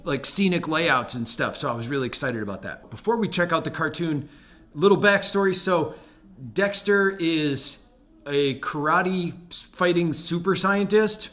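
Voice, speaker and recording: A man gives casual, rambling commentary on his own animation work. His voice sits a little high for a man. He sounds amused by himself as he goes. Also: a little slow, a bit bright. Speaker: animator and video host.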